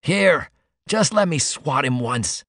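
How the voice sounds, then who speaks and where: A man's voice: a little high, lively intonation, moderate pace, dry recording, clean studio sound, pitched above the performer's own voice, lightly acted. A man voicing a sickly, dying man with a sardonic, solemn, goading manner in a storybook reading. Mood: fierce. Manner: solemn, meditative, urging.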